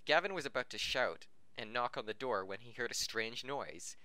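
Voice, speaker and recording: A man hosting a podcast reads a story aloud in a bright, forward voice with very little room sound. He is amateurish and giggly, and he trips over words and restarts phrases. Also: medium pitch, lively intonation, normal speed, no room sound. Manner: stumbles over the text, giggly. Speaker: podcast host reading fan fiction aloud. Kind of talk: amateur story reading, often breaking up.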